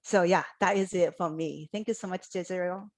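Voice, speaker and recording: A woman's voice: fairly low pitch, a little fast. Earnest woman on a video call. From Japan. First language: Japanese. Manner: earnest, reflective, speaks at length. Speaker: college faculty panelist. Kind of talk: panel discussion.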